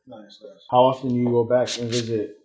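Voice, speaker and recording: A man's voice: medium pitch, flat delivery, brisk, mellow, slight background noise, poor audio. A man hosting as a barber, asking rambling questions full of fillers while cutting hair in a barbershop. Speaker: host and barber. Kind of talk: casual interview chat.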